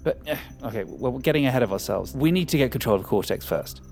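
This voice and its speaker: Audio drama, scheming excitable scientist, lively pitch swings, pushy and profane. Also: male voice, fast talker, creaky, faint music behind. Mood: excited, urgent. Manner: fervent, persuasive, profane.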